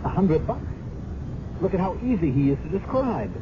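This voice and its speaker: An American actor playing an intense, brooding police detective in a 1970s radio drama, speaking in terse, probing lines. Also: male voice, high voice, big pitch swings, normal speed. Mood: insistent, agitated. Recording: muffled, noisy 1970s radio broadcast recording.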